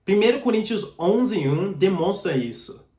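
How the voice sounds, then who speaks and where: A man delivering a sermon with a noticeable foreign accent, since Portuguese is not his first language. His voice sits fairly high for a man, and he teaches in an earnest, direct way. The recording has a roomy echo. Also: animated, unhurried, mellow. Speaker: preacher. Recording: echoey room.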